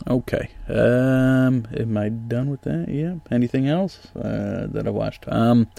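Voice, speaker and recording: A man's voice: mid-range voice, slow pace, creaky, mellow, dry recording. A man with a sniffly, nasal voice from allergies, giving a blunt, profane, rambling movie review full of ums and uhs. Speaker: podcast host reviewing movies.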